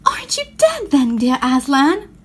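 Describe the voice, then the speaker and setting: A woman's voice: high-pitched, wildly swooping pitch, unhurried, voice pushed up, exaggerated voice. A woman voicing a tender, eager young girl in a storybook, full of earnest feeling. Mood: awed.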